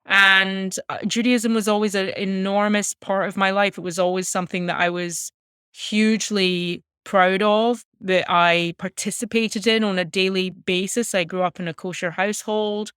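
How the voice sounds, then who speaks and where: Female interview guest, thirties, Scottish accent, earnest and articulate storyteller, crisp tone, close dry sound. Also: medium pitch, steady tone, normal speed, fairly bright tone, no echo. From Glasgow, Scotland. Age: 30s. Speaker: interview guest, music journalist. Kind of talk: reflective personal storytelling in an interview.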